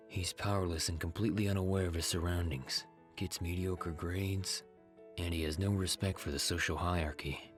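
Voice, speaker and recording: A man's voice: deep voice, natural voice. A man voicing a cold, commanding young student leader in a comic dub, curt, authoritative and suspicious.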